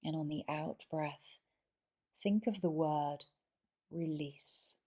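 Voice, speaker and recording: A woman leading a guided yoga nidra meditation in a soothing, measured way, pausing between short phrases. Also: low-pitched, warm tone.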